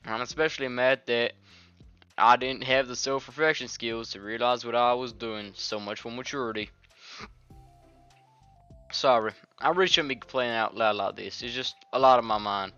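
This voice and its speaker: A man's voice: mid-range voice, normal speed, sharp tone, clean tone, dull audio, soft background music. A man voicing an earnest, self-critical schoolgirl club president in a fretful, hesitant way for a video game. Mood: self-reproachful. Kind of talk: video game fan voice-over.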